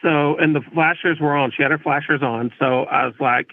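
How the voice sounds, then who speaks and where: A man's voice: fairly high pitch, steady tone, a little fast, creaky, phone-quality sound. A polite, plain-spoken man, a police officer, recounting events conversationally as an interview guest.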